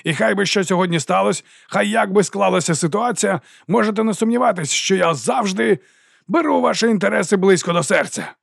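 A man's voice: high voice, fairly quick, gravelly, no echo, studio quality, pitched above the performer's own voice, full character voice. A man voicing a flamboyant, roguish mercenary captain in an audiobook, theatrical and self-mocking as he rallies his troops in a grandiose oration. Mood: rousing, sly. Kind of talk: audiobook character acting.

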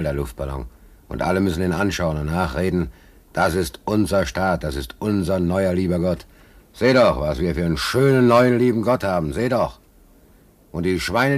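A man's voice: deep voice, a little mellow. A man playing an uneasy, bitter working-class ship stoker in a German radio play, talking in a colloquial, brooding, indignant way.

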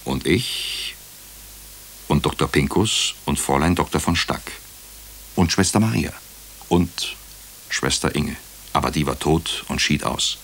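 An actor playing a brooding hospital doctor who narrates a radio crime drama in clipped, deliberate phrases. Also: male voice, a bass voice, vocal fry, a bit bright, breathy edge, heavily acted. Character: brooding male hospital doctor recounting a murder case. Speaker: actor narrating as the lead character.

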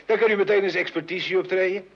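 A man's voice: high-pitched, steady tone, quick pace. An actor playing a slick, pushy, boastful used-car salesman with glib slangy patter in a radio comedy. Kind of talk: mid-century Dutch radio comedy acting. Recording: dull old radio recording with noise.

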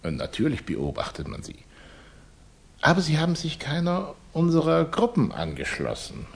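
An actor playing a cunning Russian émigré revolutionary in a German radio play, flattering and condescending, with florid, oily politeness. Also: male voice, fairly high pitch, moderate pace, gravelly, slightly rough, heavily acted. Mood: suave, probing.

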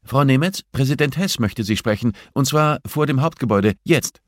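A man's voice: mid-range voice, animated, moderate pace, no room sound, clean studio sound, voice pushed up, close to an everyday voice. A man voicing a businesslike female secretary in an audiobook, clipped and formal.

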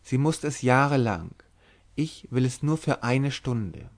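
A man's voice: medium pitch, animated, measured pace. A bold young witch-maiden from a gothic storybook, as a male narrator plays her. She is sly and secretive, speaking in formal literary phrases with a confiding, commanding manner. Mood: scheming. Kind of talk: dramatic literary audiobook reading.

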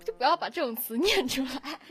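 A woman's voice: sits high, expressive, a little fast, fairly bright tone, breathy edge, faint music behind. A woman chatting casually in a playful, teasing manner. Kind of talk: casual chat.

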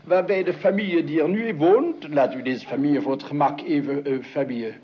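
A man's voice: fairly high pitch, a little fast, natural voice. An actor playing a flustered, officious male family doctor who stammers, hesitates and corrects himself in formal speech, in a radio comedy. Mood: officious, hesitant. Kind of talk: Dutch radio comedy drama acting. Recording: dull, noisy old radio recording.

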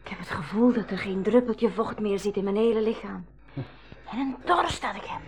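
A woman playing a hopeful, excitable young sister in a 1980s educational radio drama, expressive and exclamatory. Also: mid-range voice, moderate pace, natural voice. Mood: weary.

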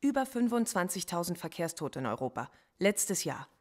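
A woman's voice: fairly low pitch, big pitch swings, moderate pace, a little mellow. An actress playing a defiant female philosophy professor in a radio play, articulate and lecturing. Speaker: philosophy professor under interrogation.

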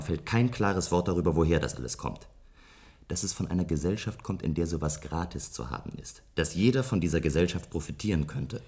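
A suspicious, contemptuous man speaks in a radio play. His low voice is emphatic and dismissive. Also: a little mellow.

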